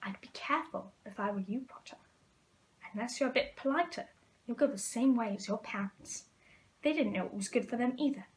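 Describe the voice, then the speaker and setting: A woman puts on a haughty young boy for a storybook, high in pitch. He sneers and talks down to everyone with a careless drawl. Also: a little fast, close to an everyday voice, rough recording. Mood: sneering. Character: sneering, haughty pale schoolboy bully.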